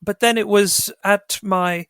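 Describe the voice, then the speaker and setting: A middle-aged man from Sri Lanka, a barrister guest telling his career story in a measured, wry way. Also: high voice, fairly even intonation, fairly bright tone, smooth, no room sound, clean studio sound. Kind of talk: podcast interview, reflective storytelling.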